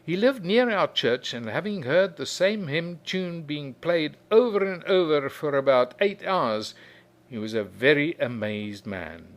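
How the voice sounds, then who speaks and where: A man reading a short story aloud in an expressive, measured way, drawing out phrases for emphasis. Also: on the high side. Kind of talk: expressive literary storytelling narration.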